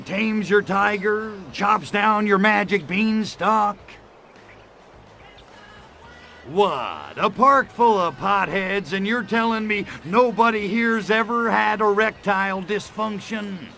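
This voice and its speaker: Cocky gravelly game alien, slow sarcastic drawl, trigger happy, some noise behind. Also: male voice, high voice, pushed and bright, full character voice. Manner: sarcastic, smart-alecky drawl. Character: cocky, wisecracking male alien invader, crude and trigger-happy. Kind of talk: video game cutscene acting.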